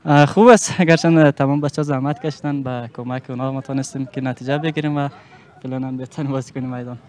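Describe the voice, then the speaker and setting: Young Afghan footballer, hesitant. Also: male voice, medium pitch, steady tone, darkish tone. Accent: Afghan Dari. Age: young adult. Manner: modest, with frequent "uh" fillers. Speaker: football player. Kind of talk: post-match interview. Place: outdoor pitchside backdrop. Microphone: handheld mic.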